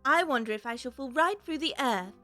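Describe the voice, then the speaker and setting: Storybook little girl: high, bright voice, curious, chatty, thinking aloud, music underneath. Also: expressive, fairly quick. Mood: wondering. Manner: musing aloud to herself. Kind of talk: full-cast audiobook acting.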